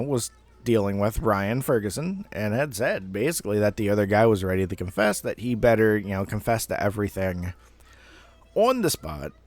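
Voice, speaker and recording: Low-voiced man telling a rambling story. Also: dry recording. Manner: rambling, halting, profane. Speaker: amateur storyteller. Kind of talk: casual personal storytelling monologue.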